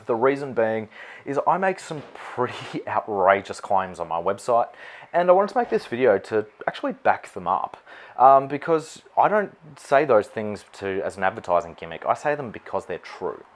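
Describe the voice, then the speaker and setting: Low-pitched Australian man, informal explainer. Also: very animated, moderate pace. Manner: informal, conversational, mildly sweary. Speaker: wedding celebrant presenting his gear. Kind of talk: unscripted product explainer to camera.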